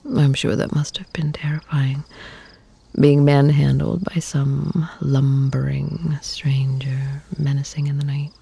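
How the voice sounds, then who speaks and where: A woman playing a protective vampire lover in an ASMR roleplay, soft and breathy, often whispering, with formal, measured wording. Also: rumbling low, level tone, heavily acted. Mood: concerned, tender. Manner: slow, soothing, doting, formal wording.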